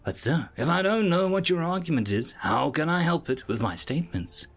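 A man's voice: fairly high pitch, moderate pace, pitched above the performer's own voice, lightly acted. A man voicing a nervous, deferential male alien assistant, hesitant and questioning, in a science fiction story.

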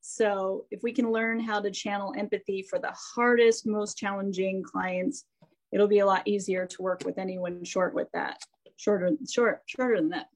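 A woman's voice: mid-range voice, steady tone, moderate pace. An American woman hosting an interview show, chatty and upbeat, laughing easily as she introduces her guests. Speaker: host and therapist.

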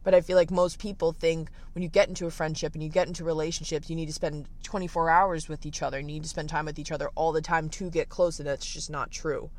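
A young man in his late teens with a raspy voice, candidly thinking aloud in a casual livestream from the beach, full of fillers and restarts. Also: on the high side, level tone, quick pace, few pauses. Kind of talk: reflective casual livestream monologue.

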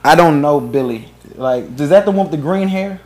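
A man co-hosts a loose podcast conversation, joking around and cursing freely. His voice sits a little high for a man. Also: animated, a little fast. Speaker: podcast co-host. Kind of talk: casual group chat.

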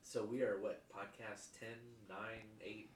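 A man's voice: lowish voice, flat delivery, unhurried, reverberant, slight background noise. A man with a cold and a stuffy nose co-hosting a casual podcast, wry and joking. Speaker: podcast co-host. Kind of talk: casual chat.

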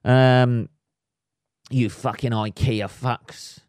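A man, a little hoarse, ranting comically and swearing constantly in a rambling solo podcast monologue. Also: mid-range voice, steady tone, very slow, darkish tone, dry recording, clean studio sound. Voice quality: heavy swearing, rambling with many fillers. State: slightly hoarse. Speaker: podcast host. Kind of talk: solo comedic rant.

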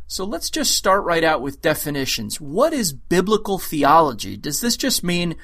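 A man hosting a short interview podcast, reading the intro and asking clear, organized questions. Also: on the high side, big pitch swings, moderate pace, fairly bright tone.

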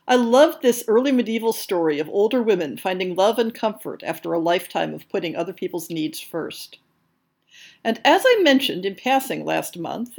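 A woman hosting a podcast, reading scripted announcements in an articulate, measured way with emphatic stresses. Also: a little high. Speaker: podcast host.

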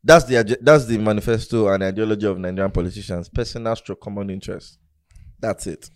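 A man's voice: on the low side, quick pace, no room sound. Young guy chatting politics, says bro a lot, dry close sound. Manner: slangy, calls friends bro. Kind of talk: casual political chat.

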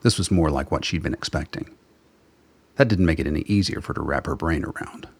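A man's voice: rumbling low, fairly quick, gravelly, husky, dry recording. A man narrating a scripted story for a radio play.

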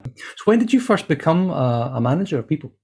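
A man's voice: a little high, big pitch swings, brisk, darkish tone, slightly rough. A man hosting a leadership podcast interview, asking questions and joking with his guest. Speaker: podcast host.

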